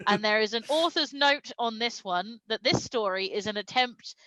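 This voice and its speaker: A woman's voice: on the high side, moderate pace, bright, forceful tone, low-quality recording. A woman reading a comic story aloud with dramatic storytelling delivery and amused asides. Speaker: reader of comic fan fiction at a live stream show.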